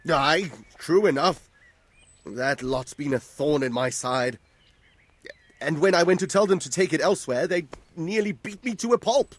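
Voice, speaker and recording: An actor playing a put-upon medieval village tanner in a video game, complaining bitterly in colourful terms. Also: male voice, fairly high pitch, normal speed, slight background noise, natural voice. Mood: bitter grumbling. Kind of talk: video game dialogue acting.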